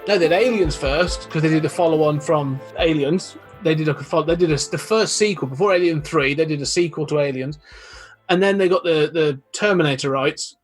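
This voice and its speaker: A man's voice: on the high side, brisk, soft background music. A young man, a podcast guest, talking eagerly and rambling with lots of restarts. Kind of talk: casual podcast chat.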